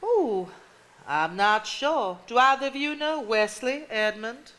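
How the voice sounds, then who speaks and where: A woman's voice: fairly high pitch, lively intonation, slow pace, fairly bright tone. A woman playing a prim, reproving Southern sister in a stage drama, genteel and needling. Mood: poised. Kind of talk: live stage drama acting.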